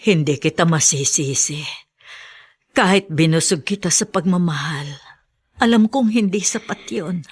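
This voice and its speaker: An actress playing a loving, wounded older mother in a radio drama, speaking in a gentle, emotional way. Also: fairly low pitch, unhurried, breathy edge. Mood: sad, understanding. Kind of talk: Tagalog radio drama acting.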